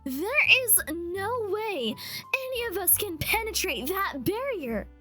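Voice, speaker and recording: A woman's voice: very high-pitched, bright, forceful tone, full character voice. A woman playing a theatrical, confident young lady with water magic in a fan dub, with grandiose delivery. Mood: dramatic, proud.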